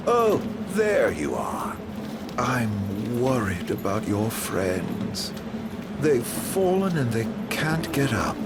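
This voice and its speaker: An actor playing a sinister, theatrical villain in a video game, with a languid, mock-polite drawl. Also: male voice, mid-range voice, wildly swooping pitch, takes it slowly, heavy background noise, exaggerated voice. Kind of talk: dramatic video game acting.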